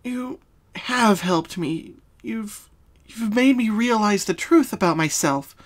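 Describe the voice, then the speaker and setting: A woman voicing a sorrowful young female cartoon pony in a fan story, speaking softly and solemnly with hesitations. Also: mid-range voice, expressive, voice pushed up. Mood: solemn, hesitant. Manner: soft, solemn, halting. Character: sad young female pony confessing her hidden identity.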